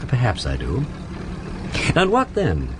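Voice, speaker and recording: A suave aristocratic English detective from a radio play. His upper-class voice swoops extravagantly up and down, facetious and playfully pompous. The recording has loud background noise. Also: medium pitch, huge pitch swings, slow pace. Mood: teasing, needling. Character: breezy, witty, aristocratic English gentleman sleuth. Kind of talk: BBC radio drama acting.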